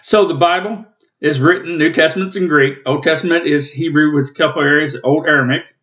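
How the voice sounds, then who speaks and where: Rambling man in his fifties, husky throat. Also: fairly high pitch, fairly even intonation, brisk, a bit bright. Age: 50s. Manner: rambling, plainspoken, self-corrects. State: coughing, rough throat. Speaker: Bible teacher and channel host. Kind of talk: casual home Bible study talk. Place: small room at home, indoors.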